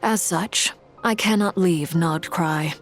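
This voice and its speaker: An actress playing a cool, formal, dryly condescending female commander in a video game, in a measured deadpan. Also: on the low side. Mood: grave explaining. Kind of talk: English video game voice acting. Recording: game music behind the voice.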